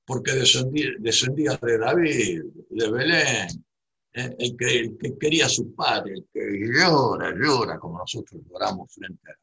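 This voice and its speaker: A man's voice: medium pitch, animated, normal speed, vocal fry, bright, forceful tone, husky, low-quality recording. A man from Buenos Aires with a Rioplatense accent, giving a digressive, reflective monologue full of tag questions and restarts. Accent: Rioplatense Spanish. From Buenos Aires, Argentina.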